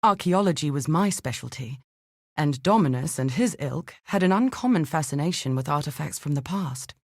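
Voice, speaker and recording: An actress playing an earnest, thoughtful scholar in exile in a video game, speaking in a measured, articulate and grave way. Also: female voice, low-pitched, animated, a little mellow, no room sound, clean studio sound. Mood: regretful, somber. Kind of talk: scripted dramatic game dialogue.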